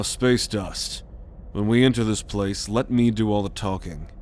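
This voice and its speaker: A man acting a roguish, protective alien scout captain in a sci-fi ASMR roleplay, measured and authoritative as he gives orders. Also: on the low side, darkish tone, close to an everyday voice. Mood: gruff, resolute.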